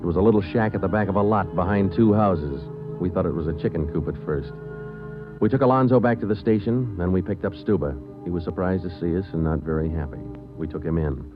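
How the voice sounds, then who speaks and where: An actor playing a terse male police sergeant who narrates the case in a clipped, deadpan way, in 1940s radio acting. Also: low voice, quick pace, close to an everyday voice. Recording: tinny old radio broadcast with music.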